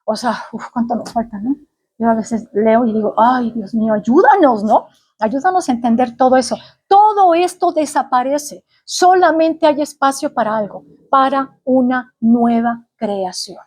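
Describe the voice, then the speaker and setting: A woman preacher from Mexico City with a Mexican Spanish accent, delivering a sermon. Her voice sits high, and she teaches earnestly, pausing on fillers and checking that her listeners follow. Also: darkish tone, breathy edge. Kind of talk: sermon to a congregation.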